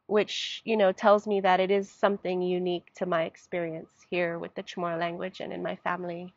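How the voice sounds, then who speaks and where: A woman's voice: lowish voice, little pitch movement, brisk, gravelly, no echo, muffled recording. Reflective middle-aged woman telling her story. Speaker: guest, language educator. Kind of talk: casual panel conversation. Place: outdoors at a table in a leafy garden.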